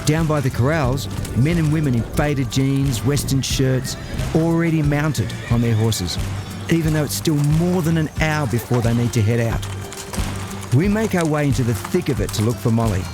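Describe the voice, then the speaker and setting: A man reading scripted narration in a measured, descriptive way. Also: mid-range voice, music in the background.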